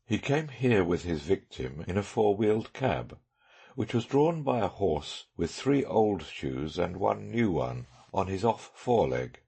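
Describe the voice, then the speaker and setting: A man voicing a brilliant, self-assured male detective with dry, measured sarcasm in an audiobook. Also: deep voice, big pitch swings, creaky, mellow, breathy edge, heavily acted. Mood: confident, brisk.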